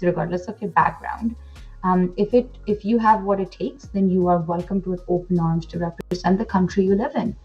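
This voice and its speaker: A woman's voice: medium pitch, darkish tone, highs cut off. A woman in her twenties who grew up in India, talking in a chatty, enthusiastic way with many fillers and restarts as an interview guest. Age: 20s. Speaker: pageant titleholder guest. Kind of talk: casual interview.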